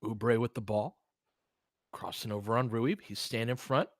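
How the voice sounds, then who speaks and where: A man's voice: mid-range voice, steady tone, normal speed, dry recording, clean studio sound. An American man doing casual, conversational live basketball commentary while chatting with viewers. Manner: conversational, reacting to chat comments. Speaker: play-by-play streamer and analyst.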